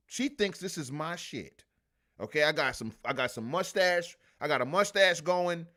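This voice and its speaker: A man's voice: on the high side, moderate pace. A man hosting a podcast, telling stories in casual, profane banter. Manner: animated, profane storytelling. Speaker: podcast host.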